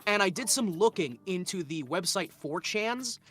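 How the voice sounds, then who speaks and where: Guest in a comedy chat: rambling, mock-earnest bits with a bouncy pitch over music. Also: animated, moderate pace, pushed and bright. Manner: rambling, mock-earnest, lots of stammering restarts. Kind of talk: comedic in-character chat as an alien conqueror. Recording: background music under voice.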